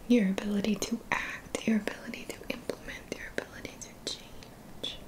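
A woman in her thirties whispers gentle, soothing affirmations as an ASMR energy healer, in a soft, breathy voice close to the mic. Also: medium pitch, little pitch movement. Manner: gentle, slow, deliberate phrasing with pauses. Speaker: ASMR energy healing practitioner. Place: small dim indoor room. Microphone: close mic, seated near the camera.

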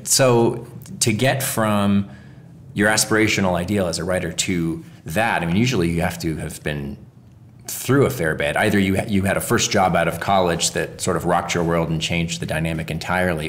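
A man's voice: low-pitched, normal speed, a little hiss or hum. A man co-hosting a podcast in a reflective, conversational style. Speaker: podcast co-host.

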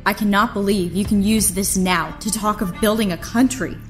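A woman's voice: medium pitch, fairly even intonation, normal speed, close to an everyday voice. Woman playing a defiant young niece over music. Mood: indignant. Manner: earnest, dramatic, indignant. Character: defiant, idealistic young niece accusing her uncle. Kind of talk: audio drama acting.

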